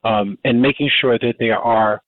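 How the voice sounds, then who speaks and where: A man's voice: mid-range voice, steady tone, moderate pace, dry recording. Male radio host, 50s, rambling casual delivery, tinny dry phone-line sound. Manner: rambling, frequent fillers and restarts. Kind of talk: casual community radio talk. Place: Baltimore, Maryland. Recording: dry, phone-like narrow band.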